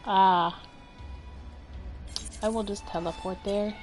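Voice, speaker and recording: A woman's voice: mid-range voice, fairly even intonation, slow. A woman streaming a video game, casually thinking aloud as she plays. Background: game music.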